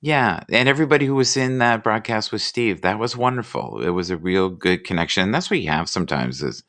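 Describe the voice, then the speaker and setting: A man hosting a casual live chat, relaxed and rambling, who reads inspirational quotes aloud slowly. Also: fairly low pitch. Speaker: livestream host.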